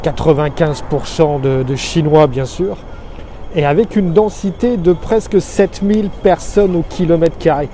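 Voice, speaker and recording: A middle-aged man from Alsace, France, giving an earnest, measured street-side monologue full of figures, recorded outdoors on a city street. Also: on the high side, mellow. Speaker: expatriate vlogger. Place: outdoors on a city street below his office. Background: faint street and traffic noise.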